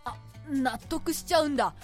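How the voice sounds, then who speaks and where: High-voiced, whiny young male scholar played by a woman. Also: moderate pace, natural voice. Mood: plaintive, exasperated. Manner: polite speech, plaintive and excitable. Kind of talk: radio drama acting. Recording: music behind the voice.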